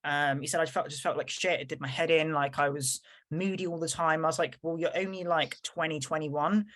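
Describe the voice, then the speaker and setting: A woman's voice: low-pitched, steady tone, fairly quick. A woman co-hosting a podcast in casual chat, rambling along and acting out what people said to her. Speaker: podcast co-host.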